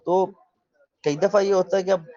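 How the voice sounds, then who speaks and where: A man in his thirties in a casual video chat, relaxed and opinionated, slipping English phrases into his talk. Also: high voice, normal speed. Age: 30s. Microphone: video call mic. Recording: dull lo-fi video call audio.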